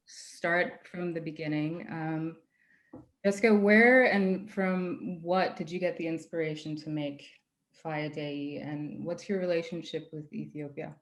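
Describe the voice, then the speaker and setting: A festival programmer moderating a recorded Q&A, asking friendly, curious questions. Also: female voice, lowish voice, echoey room. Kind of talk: hosting a recorded Q&A.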